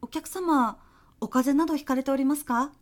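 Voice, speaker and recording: High female voice, acted building receptionist, formal service politeness, apologetic, flustered, comedy audio drama. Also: moderate pace, noisy background, close to an everyday voice. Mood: polite. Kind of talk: comedic short radio drama acting.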